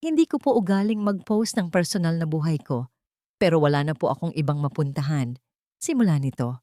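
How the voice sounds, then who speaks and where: A wronged-sounding mistress read by a woman storyteller makes her plaintive, carefully worded case. The voice is a touch low and the sound is dry, as in an audio drama. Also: lively intonation, fairly quick, mellow, no echo. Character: pregnant mistress posing as a wounded, pleading victim.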